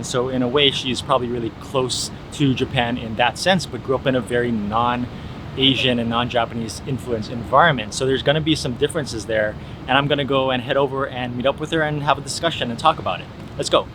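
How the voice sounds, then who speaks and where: A man's voice: mid-range voice, fairly quick. A young man from Hawaii hosting a casual conversation in an easygoing manner, laughing often. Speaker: host. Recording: noisy background.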